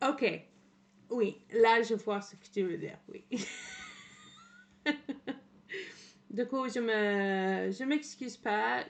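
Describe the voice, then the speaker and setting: An Englishwoman with an English accent, speaking French as a streamer in casual commentary, hesitant with many fillers and English words slipped in. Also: medium pitch. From England. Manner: hesitant, frequent "euh" fillers, mixes in English words, laughs often.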